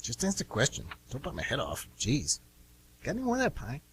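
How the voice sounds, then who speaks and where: A man's voice: medium pitch, wildly swooping pitch, a little fast, a little hiss or hum, rough recording. A man playing a nosy, blunt younger brother with a casual, pestering manner in an amateur radio play. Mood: pestering, defensive.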